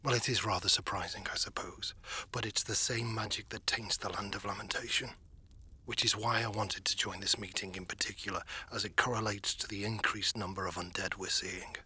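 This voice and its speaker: A man playing a shrewd royal council dignitary in a fantasy audio drama, husky and breathy, with formal, urbane phrasing. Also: lowish voice, steady tone, brisk, sharp tone, a little hiss or hum. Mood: grave, explanatory. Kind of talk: fantasy audio roleplay acting.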